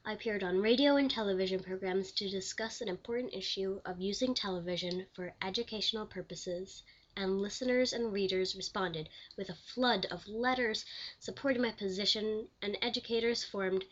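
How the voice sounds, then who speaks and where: A woman's voice: mid-range voice, normal speed, lightly acted. Woman acting a genteel elderly pioneer, muffled audio. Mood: earnest. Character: elderly, proud pioneering woman lawyer and broadcasting commissioner. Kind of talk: scripted mock interview, reenacted historical figure.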